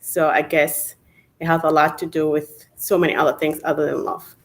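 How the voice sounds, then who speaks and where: A woman's voice: deep voice, level tone, moderate pace. Woman from South Sudan answering on a video call. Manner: straightforward, with "um" fillers. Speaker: panelist. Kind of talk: casual panel answer.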